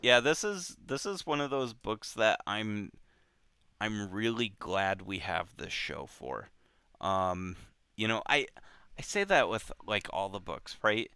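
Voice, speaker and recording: A man hosting a book club show, talking casually and unscripted in a halting way, often repeating himself. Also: lowish voice, very animated, pushed and bright, clean tone, dry recording.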